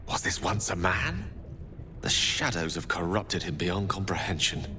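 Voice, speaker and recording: An actor playing a wry, appalled adventurer companion in a video game. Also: male voice, deep voice, expressive, unhurried, bright, forceful tone, a little hiss or hum, exaggerated voice. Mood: grave.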